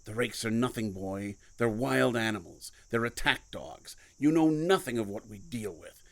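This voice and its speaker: A man's voice: on the low side, animated, normal speed, vocal fry. A man playing a solemn believer who guides a younger man against evil in an audio drama, speaking insistently and portentously.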